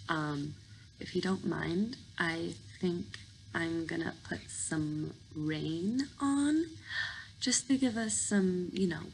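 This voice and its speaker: A woman with a slightly low, crackly voice guides a sleep meditation at a very unhurried pace. She sounds breathy and soothing, giving gentle, informal instructions. There is some audible noise behind her. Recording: clear background noise.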